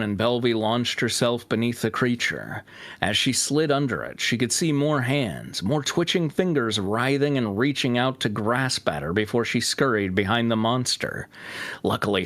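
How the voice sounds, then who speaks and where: A man's voice: medium pitch, a bit bright, no room sound. A man reading a fantasy story aloud as its narrator. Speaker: fan fiction reader and narrator. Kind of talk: scripted story narration with joking asides.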